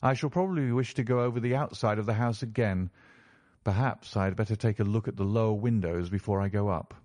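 A man voicing a keen, courteous gentleman detective who speaks in a measured, formal, probing way in a storybook. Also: lowish voice, natural voice. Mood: composed, analytical.

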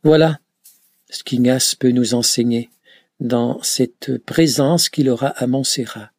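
A man recounting his pilgrimage in a slow, hesitant way, full of filler sounds and restarts. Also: mid-range voice, a little mellow, no echo, clean studio sound. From Canada. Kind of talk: reflective travel account.

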